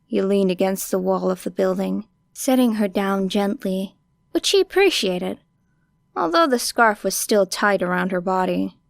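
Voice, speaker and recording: A woman narrates fiction expressively, as for an audiobook. Her delivery is slow and deliberate, with a lot of vocal fry, in a very dry recording. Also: medium pitch, no echo. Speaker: audiobook reader.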